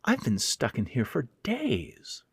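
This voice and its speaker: Man putting on a high, sweet, pleading monster woman. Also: wildly swooping pitch, takes it slowly, vocal fry, slightly rough, no echo, clean studio sound, heavily acted. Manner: supplicating, coaxing, genteel old-fashioned speech. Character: monstrous spider-woman feigning a sweet, pleading female captive. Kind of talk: horror audio fiction reading.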